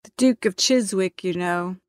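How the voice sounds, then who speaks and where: A woman's voice: fairly low pitch, unhurried, no echo, clean studio sound, natural voice. A woman voicing a glum, hapless young Englishman in an audiobook, with a hesitant manner. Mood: gloomy, anxious. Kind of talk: audiobook character acting.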